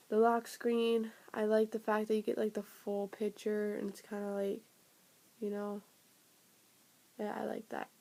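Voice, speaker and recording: A young woman reviewing a phone software update in a rambling, casual way, her voice raspy and sniffly from a cold. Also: medium pitch. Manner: rambling, filler-heavy, trailing off. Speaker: tech vlogger.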